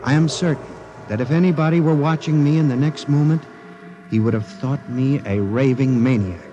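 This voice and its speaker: An actor playing an obsessed, fearful office clerk, narrating in an earnest, theatrical 1950s radio drama manner. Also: male voice, mid-range voice, normal speed, dark tone, dull audio, a little hiss or hum, lightly acted. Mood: brooding, dread. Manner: intense, earnest, formal, theatrical. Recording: 1950s radio broadcast with music behind.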